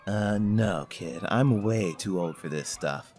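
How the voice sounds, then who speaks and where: A man's voice: fairly low pitch, takes it slowly, darkish tone. An actor playing a sullen, sarcastic teenage boy in a horror audio drama, with a dry, grudging delivery. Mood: reluctant.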